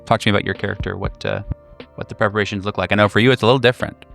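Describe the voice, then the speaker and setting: A man's voice: lowish voice, fairly even intonation, rapid-fire, creaky, a bit bright, soft background music. A man hosting a tabletop role-playing podcast as game master, introducing the show and prompting players.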